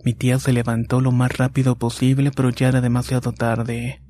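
A man narrating horror stories from a script in a calm, even reading. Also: mid-range voice, a little fast.

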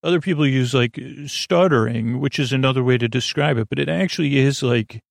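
A man with a creaky voice hosting a bedtime podcast, meandering and stumbling over words in a soothing, low-key improvised monologue. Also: fairly quick, no echo, studio quality.

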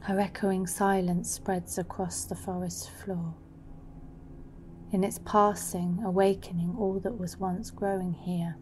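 A woman narrating a scripted guided meditation in a deliberate storytelling manner. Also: medium pitch, little pitch movement, darkish tone, a little hiss or hum. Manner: slow, deliberate storytelling, at times dropping into whispers. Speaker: guide of a meditative sound journey. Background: music.

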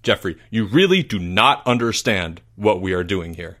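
A snappish storybook schoolteacher, played by a man, insistent and a little sinister. He is exasperated and emphatic, with a bright, forceful edge and swinging pitch. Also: expressive, normal speed.

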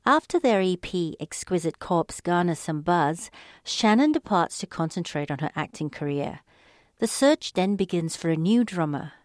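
A woman's voice: lowish voice, expressive, moderate pace, darkish tone, dry recording, clean studio sound. A woman from Singapore with a mixed, partly Australian accent, narrating and asking interview questions.

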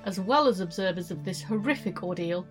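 An actress playing a serious news reporter in an audio drama, reading a scripted report in a formal, earnest way. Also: female voice, fairly low pitch, animated, fairly quick, natural voice. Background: music.

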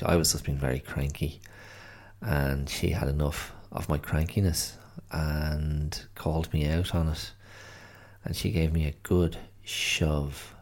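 A man's voice: a bass voice, vocal fry, darkish tone. An Irish man hosting his own podcast, chatting casually and rambling with many self-corrections, tired and a little stuffy-nosed from hay fever. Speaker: podcast host. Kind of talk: casual solo podcast chat.

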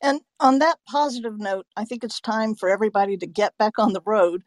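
A woman with a medium-pitched voice hosts a live audio chat show. She speaks conversationally, and the recording is dry with no room sound. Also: brisk, no room sound. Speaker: host.

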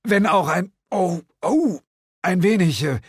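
Man acting out a melodramatic, hapless hero, raised pitch. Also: animated, takes it slowly, breathy edge, no room sound, clean studio sound, full character voice. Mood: pained, whining. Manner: stammering, melodramatic. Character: hapless, boastful male ghost hunter, mock-heroic and whiny.

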